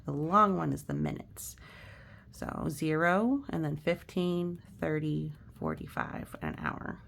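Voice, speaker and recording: A woman's voice: deep voice, sing-song, measured pace, creaky, fairly bright tone. A woman reading a picture book aloud with casual asides, chatty and exclamatory, explaining things simply for children and often letting phrases drop to a near whisper.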